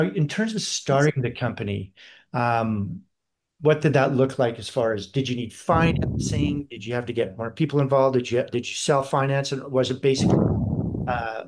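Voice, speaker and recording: A man's voice: medium pitch, noisy background. A man hosts a business interview podcast. He opens by reading a formal introduction of his guest. His questions run long and loop through several parts before he lands on the point. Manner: rambling multi-part questions. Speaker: podcast host.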